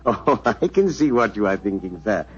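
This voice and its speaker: An actor playing a suave, courtly Indian prince with a formal, ornate and smoothly deferential manner, in 1940s radio style. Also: male voice, on the low side, very animated, normal speed. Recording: muffled 1940s radio recording.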